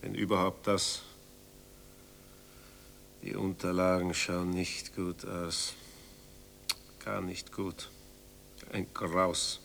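A man's voice: fairly low pitch, creaky, bright, forceful tone, slight background noise, heavily acted. An actor in a German radio drama playing a pedantic, condescending male official, officious and mock-polite.

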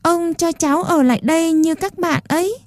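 A woman voicing an ugly little wooden doll boy who pleads and sobs in a timid, soft voice. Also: moderate pace, dry recording, studio quality. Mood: pleading. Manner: pleading, sobbing, then shrill and defiant.

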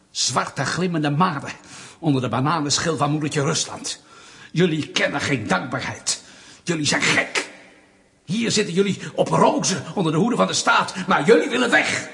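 An actor playing a patronizing, sardonic Soviet official in a radio drama, mock-polite and bullying. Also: male voice, fairly high pitch, vocal fry, bright, forceful tone, husky, heavily acted. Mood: contemptuous, ranting. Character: menacing, condescending male Soviet state official, bureaucratic bully.

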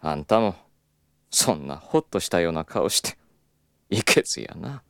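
Low-voiced, suave Kyoto schemer. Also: male voice, sing-song, normal speed, dry recording, clean studio sound. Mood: teasing. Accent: Kyoto Japanese. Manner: smooth polite speech slipping into teasing Kyoto dialect. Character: suave, stubborn club boss, flirtatious and scheming. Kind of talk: audio drama acting.

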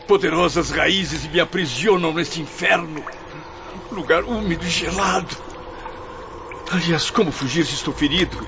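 An actor playing a blind, wounded man who has taken his own life, frightened and desperate, in a radio drama. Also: on the high side. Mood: fearful. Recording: dull recording with loud noise behind.

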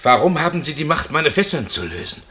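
Desperate condemned prisoner, forceful voice. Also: male voice, a little high, big pitch swings, a little fast. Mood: fearful, subdued. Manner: impassioned, pleading, theatrical. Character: desperate condemned prisoner protesting his innocence. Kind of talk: 1960 German radio drama acting.

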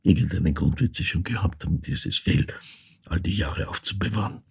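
An actor playing an elderly man in a radio drama monologue, recalling his dead mother in halting, hushed, reflective phrases with a husky, gravelly voice. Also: low voice, heavily acted. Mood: wistful, mournful. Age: older.

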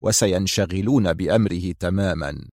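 A man's voice: sits low, moderate pace, darkish tone, no room sound, clean studio sound, voice pushed down. A man playing a stern, mysterious boss of a criminal organisation, curt and firm, in a story reading. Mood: firm, explanatory. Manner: curt, firm, cold authority.

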